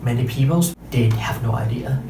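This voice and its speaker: A Thai-accented man in his thirties delivers a measured, carefully paced talk to an audience, pausing often and correcting himself mid-sentence. His voice sits at an ordinary middle pitch. The recording is roomy and picked up from some distance, with clear music underneath. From Thailand. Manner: careful, measured, frequent pauses and self-corrections. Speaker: interpreter and translator giving a talk.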